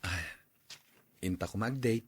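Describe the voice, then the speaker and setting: A man's voice: low voice, a little slow, breathy edge. A man playing a meek, lovestruck tricycle driver in a Philippine radio drama, hesitant and wheedling. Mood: meek wooing.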